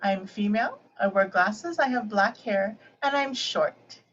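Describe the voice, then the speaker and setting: A woman's voice: mid-range voice, measured pace, fairly bright tone, muffled recording. A woman introduces herself in short, plain sentences on a video call. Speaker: project support specialist and interpreter. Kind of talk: webinar self-introduction.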